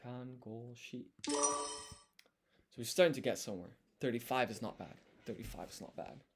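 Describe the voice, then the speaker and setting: A man's voice: medium pitch, lively intonation, normal speed, soft background music. A man livestreaming casually as he studies, thinking aloud and sounding out words syllable by syllable. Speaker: live streamer studying Japanese. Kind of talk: casual live study chat.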